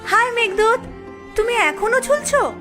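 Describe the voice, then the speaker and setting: Woman as a very high-voiced, plaintive young princess. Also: expressive, unhurried, sharp tone, faint music behind, heavily acted. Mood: tender. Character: gentle, sorrowful young princess living as servant. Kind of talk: cartoon fairy-tale voice acting.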